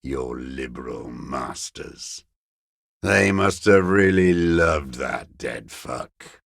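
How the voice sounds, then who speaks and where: Casting: a bitter old sniper in hiding, video game; creaky, gravelly, scornful, very dry close sound. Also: male voice, very deep voice, lively intonation, no echo, full character voice. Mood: contemptuous, goading. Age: older. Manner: slow, contemptuous, bitter invective. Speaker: video game character actor. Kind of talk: dramatic video game voice acting.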